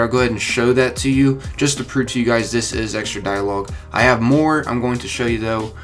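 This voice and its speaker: A man's voice: on the low side, fairly bright tone. A young man hosting a gaming video, talking to viewers in a casual, chatty, informal way. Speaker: gaming YouTuber, host. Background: light music.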